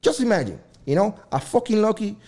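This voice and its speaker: A man's voice: high-pitched, sing-song, brisk, dark tone. A man hosting a casual debate chat, rambling with frequent restarts and swearing freely.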